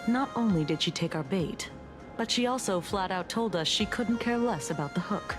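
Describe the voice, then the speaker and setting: A woman's voice: medium pitch, animated, normal speed, lightly acted. A woman playing a serious, by-the-book investigator in a video game, speaking formally and precisely with some exasperation. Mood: frustrated. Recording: music behind the voice.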